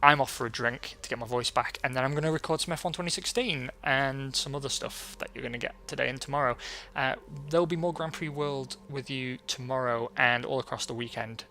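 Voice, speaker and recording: A man casually commentating a racing management game as a let's play host, his voice going hoarse and clearing his throat now and then. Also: medium pitch, brisk. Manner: relaxed and chatty, reading out results.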